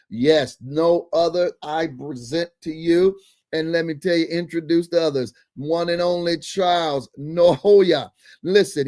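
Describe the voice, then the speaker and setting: A man's voice: fairly high pitch, a little mellow. A man hosting a church broadcast interview, emphatic and preacherly, laughing often as he talks. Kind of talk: enthusiastic interview host.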